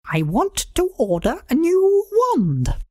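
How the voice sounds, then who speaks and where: A man voicing an excitable, fussy female witch in a storybook read-aloud. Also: slow pace, exaggerated voice. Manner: high, shrill and fussy.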